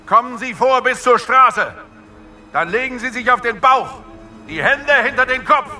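High-pitched police commander barking orders. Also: male voice, a little slow, faint music behind, full character voice. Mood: commanding, tense. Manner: barked, clipped commands. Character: commanding British police officer leading a siege. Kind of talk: German audio drama acting. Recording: loud action noise behind.

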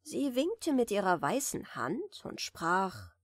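A woman reading a fairy tale aloud as a bedtime audiobook, softly and soothingly, in a deliberately gentle manner. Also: medium pitch, huge pitch swings, no echo, studio quality. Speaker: audiobook reader.

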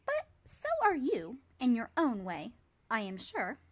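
Storybook dragonfly gentleman, read by a woman: firm, plain-spoken, matter-of-fact. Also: a little high, huge pitch swings, heavily acted. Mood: reassuring. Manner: firm, brisk-minded. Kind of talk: storybook character reading.

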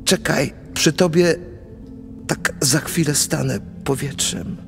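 An actor playing a morbid, romantic Polish count of fifty in a radio play of dramatic verse, brooding and theatrical. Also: male voice, fairly high pitch, takes it slowly, creaky, over music. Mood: rapturous.

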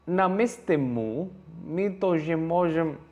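A man's voice: a little high, expressive, takes it slowly, a little mellow, muffled recording. Hesitant man with an Indonesian accent. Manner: hesitant, many fillers and restarts, repeats phrases. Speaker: language teacher. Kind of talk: slide-based language lesson. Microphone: voice-over on slides.

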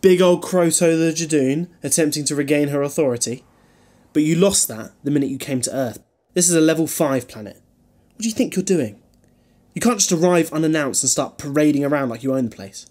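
A man playing a flippant, protective alien time traveller in a fan audio drama, with a husky voice and wry, bantering delivery. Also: on the high side, a little fast, a little mellow, close to an everyday voice. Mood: stern, defiant.

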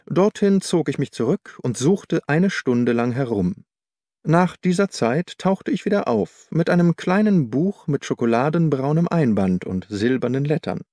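A man's voice: a little high, expressive, a little slow, dark tone, no room sound. Studio-dry male audiobook narrator. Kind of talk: scripted first-person detective story narration.

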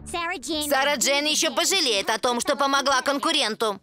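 A spiteful teenage cartoon devil. Her high voice is sharp and sulky, with faint music behind it. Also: moderate pace. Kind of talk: animated series dub acting.

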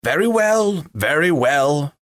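A man's voice: fairly high pitch, very animated, takes it slowly, a bit bright, no echo, clean studio sound, pitched above the performer's own voice, heavily acted. A man voicing a band of merry young male elves who tease and jeer at the butler. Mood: teasing. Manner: jeering, jovial.

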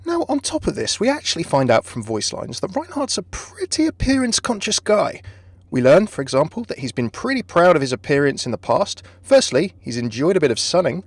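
Man, scripted video narration, lively intonation, slightly husky, dry close mic. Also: a little high, fairly quick, dry recording. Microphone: close dry voice-over microphone.

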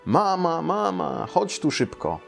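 An eager little bear cub from a children's storybook, played by a man. He is curious and excitable, calling out and asking questions, his pitch lifted above the narration. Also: takes it slowly, creaky, faint music behind, exaggerated voice. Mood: excited, urgent.

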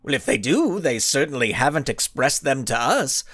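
A man's voice: mid-range voice, sing-song, normal speed, a bit bright, breathy edge, no echo, studio quality. A man voicing a cocky, grandstanding male supervillain in a storybook reading, giving smug, showy speeches.

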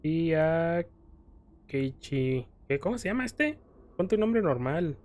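A man's voice: on the high side, big pitch swings, slow, a little mellow. A Mexican man giving casual, rambling gameplay commentary in slangy, playfully profane speech. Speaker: video game streamer. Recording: game music behind the voice. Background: video game music.

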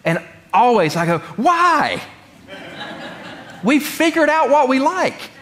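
A middle-aged man from North Carolina, preaching in a conversational, humorous storytelling way with deliberate pauses. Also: very high voice, big pitch swings, a bit bright. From North Carolina, United States. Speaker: pastor. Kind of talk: sermon with personal storytelling. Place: church sanctuary, speaking from the pulpit.

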